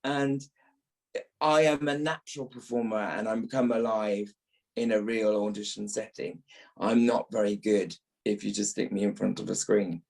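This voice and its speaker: A man's voice: on the low side, fairly even intonation, normal speed, creaky, a little mellow, rough recording. A middle-aged or older British man, an actor being interviewed, talking reflectively and repeating phrases as he thinks.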